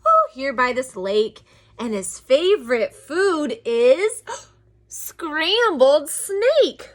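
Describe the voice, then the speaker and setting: Lady doing a cheeky boastful little mouse for a kids story, high n sing-song, goes up and down loads. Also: sing-song, slow pace, fairly bright tone, exaggerated voice. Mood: sly, teasing. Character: small bold boastful mouse, cunning storybook trickster.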